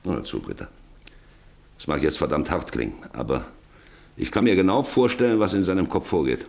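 A man's voice: sits low, expressive, fairly quick, creaky, a little mellow. An actor in a German radio drama playing a stern, domineering middle-aged farmer father who speaks bluntly and commandingly. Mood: angry, domineering. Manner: commanding, blunt, cutting others short.

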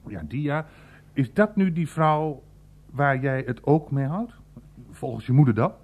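A man's voice: mid-range voice, lively intonation, a little slow, narrow, tinny audio. A man playing a blunt, irreverent older uncle in a naturalistic radio play, grumbling and swearing as he rambles. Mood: wry, chatty.

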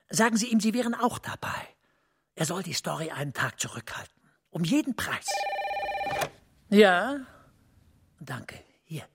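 An actor playing a commanding senior official in a German radio play, husky and breathy, speaking deliberately and dropping to near-whispers. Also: male voice, fairly high pitch, fairly bright tone, exaggerated voice. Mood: brisk, commanding. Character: commanding male senior official, guarded, urgent, conspiratorial.

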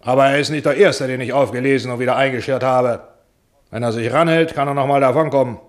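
A man's voice: mid-range voice, steady tone, fast, lightly acted. Pompous film studio boss, acted by a man. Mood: self-satisfied, patronizing. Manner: pompous, patronizing, self-satisfied. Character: self-important, jovial middle-aged male film studio boss. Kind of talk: radio drama acting.

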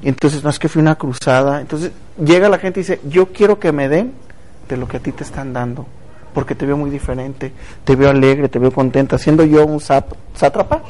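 A man's voice: fairly high pitch, fairly quick, darkish tone. A man hosting a casual talk show, chatty and speaking directly to viewers. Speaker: TV show host.

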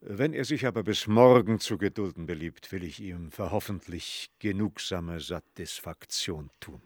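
Deep-voiced, cautious old soothsayer. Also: male voice, moderate pace, a little mellow, no room sound. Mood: evasive, courteous. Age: older. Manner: deferential, evasive, archaic formal wording. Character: sick old male steward and soothsayer, cautious, grave. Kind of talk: radio drama acting.

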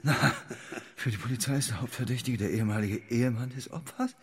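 A man's voice: medium pitch, fast, a little mellow, breathy edge, natural voice. An actor in a German radio drama playing a despairing homeless man who grieves for his son. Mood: tense reading. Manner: emotional, wailing when distressed.

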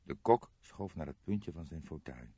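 A man's voice: rumbling low, expressive, normal speed, dark tone, husky, no echo, lo-fi sound. A man reading a crime novel as scripted audiobook narration.

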